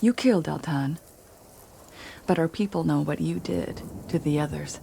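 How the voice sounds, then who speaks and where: A woman's voice: sits low, moderate pace, creaky, dark tone, slight background noise. Cold, bitter wounded woman. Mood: guarded, grave. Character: bitter, wounded woman, scornful former slave. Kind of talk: dramatic video game acting.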